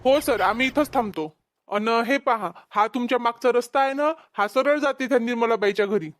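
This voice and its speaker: High-voiced village husband acted by a woman. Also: moderate pace, lightly acted. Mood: respectful. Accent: Vidarbha Marathi. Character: helpful village husband and father, calm organiser. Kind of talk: amateur cartoon comedy voice acting. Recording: music behind the voice. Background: music.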